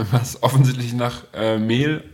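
A man's voice: medium pitch, steady tone, takes it slowly. A man hosting a casual food podcast, joking along in colloquial, playful banter. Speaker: podcast host.

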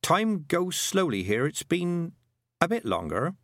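Man playing a brisk, higher-voiced young girl. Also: sing-song, a bit bright, no echo, clean studio sound. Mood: hesitant, careful. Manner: brisk, blunt. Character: sharp, practical young girl searching for her brother.

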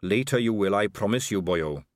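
A man doing a calm, sing-song dwarf king. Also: no room sound, clean studio sound, natural voice. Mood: calm menace. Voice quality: low, sing-song, unhurried. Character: calm, comfortable, menacing male dwarf king.

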